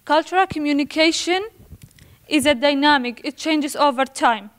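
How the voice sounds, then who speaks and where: High female voice, young adult, from Iraq, student presenter, nervous, halting careful reading, word stumbles. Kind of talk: prepared academic presentation.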